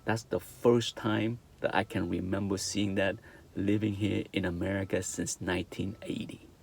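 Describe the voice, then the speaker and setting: A man's voice: deep voice, fairly even intonation, normal speed, vocal fry, breathy edge. A middle-aged man from Vietnam with a Vietnamese accent, giving an earnest opinion monologue, repeating his points and checking in with "okay?". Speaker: vlogger.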